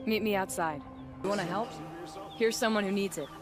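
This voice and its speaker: A woman playing a seasoned game hunter, blunt and commanding. She has a mid-pitched, no-nonsense voice over prominent music. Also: a little fast, natural voice. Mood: brusque. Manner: brusque, no-nonsense. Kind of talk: cinematic game dialogue.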